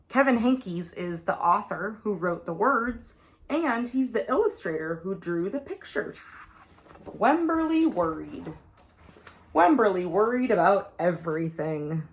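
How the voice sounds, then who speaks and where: A woman preschool teacher reading a picture book aloud to young children, with expressive, deliberate phrasing and emphasized words. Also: mid-range voice.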